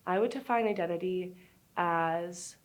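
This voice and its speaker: A woman's voice: on the low side, measured pace. A woman in her twenties, a recent graduate, speaking candidly in an interview. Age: 20s. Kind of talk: documentary interview.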